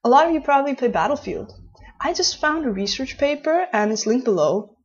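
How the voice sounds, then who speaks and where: A woman in her late twenties from the Netherlands, speaking English with a Dutch accent and a slightly husky voice. She chats to camera in a loose, rambling way, restarting her sentences often. The recording sounds a little dull and lo-fi. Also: sits high, lively intonation, brisk. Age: late 20s. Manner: chatty, rambling, with many restarts. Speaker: vlogger. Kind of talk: casual talk to camera.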